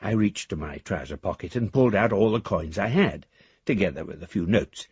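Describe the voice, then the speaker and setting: An actor playing a half-mad, disillusioned rich speculator in a radio drama, husky and theatrical, with florid, emphatic delivery. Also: male voice, sits low, animated, brisk, a little mellow, dull audio, exaggerated voice. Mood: bewildered, reflective. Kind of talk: dramatic radio monologue, letters read aloud.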